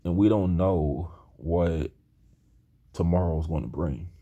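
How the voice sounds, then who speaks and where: A man's voice: low voice, slow pace, mellow, husky, lo-fi sound. A man in his thirties talking in a rambling personal monologue, earnest and hesitant, with many restarts. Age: 30s. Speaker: aspiring screenwriter talking to camera.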